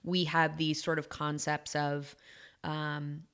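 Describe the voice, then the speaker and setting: A woman's voice: sits low, monotone, slow pace, a bit bright, clean tone. A woman in her thirties co-hosting a podcast, talking in a musing way with many fillers and restarts. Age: 30s. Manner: musing, frequent fillers and self-corrections. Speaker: podcast co-host, acupuncturist. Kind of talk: casual chat.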